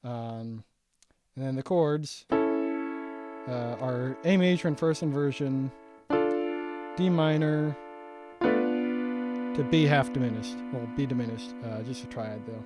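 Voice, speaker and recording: A young man walking through his own saxophone arrangement in a casual, halting way, full of ums and restarts, his voice getting raspy. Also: mid-range voice, over loud music. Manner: halting, many ums and restarts, thinking aloud. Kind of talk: casual screen-share breakdown.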